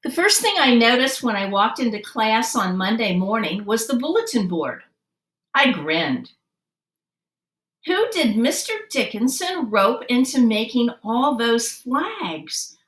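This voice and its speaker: Woman storyteller, high voice, slow deliberate delivery, children's picture book, roomy sound. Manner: animated, deliberate, dramatic emphasis. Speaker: read-aloud storyteller.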